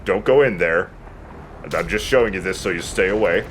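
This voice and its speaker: A man's voice: sits low, normal speed, fairly bright tone, a little hiss or hum. A man giving casual, joking commentary while he plays a video game. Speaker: let's play host playing the game.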